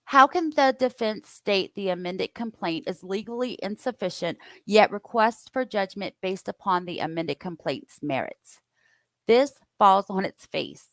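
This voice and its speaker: A woman hosting a casual online show, reading court filings aloud in a steady, careful way with side comments. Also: mid-range voice, unhurried, no room sound. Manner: steady, careful reading with occasional stumbles and asides. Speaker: host reading court documents.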